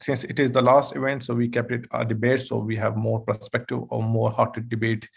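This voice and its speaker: A man's voice: medium pitch, completely flat delivery, a little fast, vocal fry, husky. A man who founded the project, speaking on an online panel over a video call.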